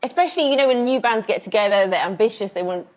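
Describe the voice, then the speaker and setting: A woman's voice: medium pitch, lively intonation, fast. A woman chatting as a guest on a panel show. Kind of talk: conversational panel discussion.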